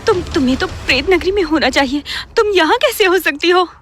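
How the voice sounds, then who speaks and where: A woman acts as a frightened young female captive in a horror audio drama. Her voice is extremely high and sharp, swinging in disbelief over faint music. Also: expressive, brisk. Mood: alarmed.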